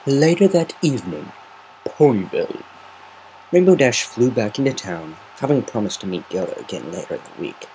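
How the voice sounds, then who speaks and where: A man's voice: medium pitch, very animated, moderate pace, warm tone, a little hiss or hum, poor audio. A man narrating a story as an amateur semi-dramatic reading. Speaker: amateur fan fiction reader.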